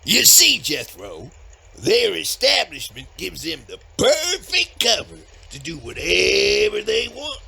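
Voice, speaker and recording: Bossy Southern hillbilly brother, slow drawl, wildly swooping pitch, scolding, comedy podcast drama. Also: male voice, heavily acted. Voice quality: raspy, strained. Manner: folksy, loud, scolding, ungrammatical drawl. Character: bossy, dim-witted backwoods country older brother monster hunter. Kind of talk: audio comedy-horror acting.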